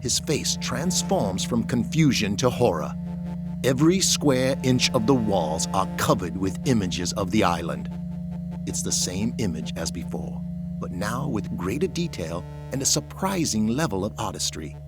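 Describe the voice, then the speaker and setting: Male narrator, deep voice, dramatic scripted delivery, music underneath. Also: lively intonation, unhurried.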